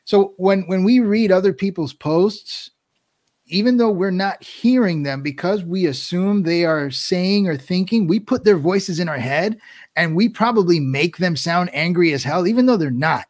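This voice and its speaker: High-voiced man musing, laughing often. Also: normal speed, darkish tone. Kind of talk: casual group chat.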